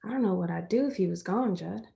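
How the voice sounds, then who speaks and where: A woman's voice: mid-range voice, fast talker, vocal fry, dark tone. An actress playing a loyal, reassuring young country woman in a stage play reading, plain-spoken and earnest. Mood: tender. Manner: folksy, earnest, reasoning things out.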